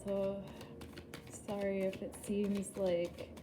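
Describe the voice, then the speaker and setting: A woman's voice: medium pitch, little pitch movement, takes it slowly, noisy background. A woman giving a casual, chatty tarot reading, rambling and laughing at herself, a little groggy after a poor night's sleep. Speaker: tarot reader.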